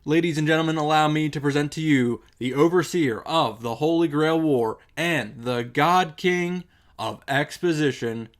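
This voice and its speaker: A man's voice: on the high side, moderate pace. Wry man recapping a game. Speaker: host of visual novel reaction and summary. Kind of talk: casual summary commentary. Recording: music playing behind the voice.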